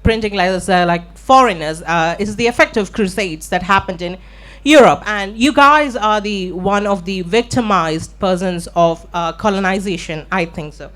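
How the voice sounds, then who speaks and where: A woman from India with an Indian accent, an audience member asking a long, earnest, halting question into a microphone at a live event. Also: medium pitch, fairly bright tone. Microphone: shared handheld event microphone.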